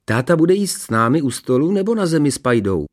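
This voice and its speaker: Here is a man acting a young storybook boy, his pitch lifted high. He sounds artless and naive, and quick to feel wronged. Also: sing-song. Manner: artless, aggrieved. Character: naive, indignant young schoolboy.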